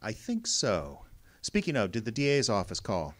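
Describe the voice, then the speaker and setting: An actor playing a cynical, wisecracking tough private detective in a radio drama, wry and clipped. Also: male voice, lowish voice, huge pitch swings, moderate pace. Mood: breezy, wry. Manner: hard-boiled, wry, clipped. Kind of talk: old-time radio drama acting.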